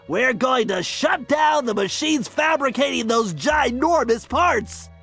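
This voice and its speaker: A piercingly high, bright, nasal voice for a brainy cartoon turtle. He is fussy and earnest, laying out every word precisely like a game's anxious strategist, with a creaky edge. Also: animated, a little slow, faint music behind, exaggerated voice. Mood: earnest, commanding. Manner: precise, wordy, fussy briefing. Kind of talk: cartoon video game acting.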